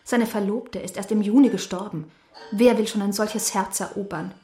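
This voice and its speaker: Woman acting young gentlewoman, peevish, self-important, radio play, medium pitch, echoey room, faint music. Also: normal speed, lightly acted. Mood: indignant.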